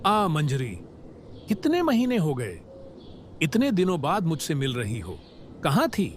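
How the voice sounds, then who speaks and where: A man's voice: on the high side, wildly swooping pitch, a little slow, a little hiss or hum. A man voicing a callous, arrogant philandering king, the villain of a melodramatic cartoon. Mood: flirtatious.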